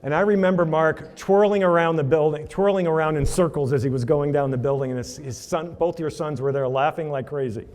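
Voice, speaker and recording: A man's voice: on the high side, fairly quick, hardly any pauses, darkish tone. Man speaking to a reception crowd, long hall echo. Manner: casual storytelling with fillers, asks for next slide. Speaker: charity event presenter. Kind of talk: speech at a reception with slides. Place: banquet hall. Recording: reverberant hall.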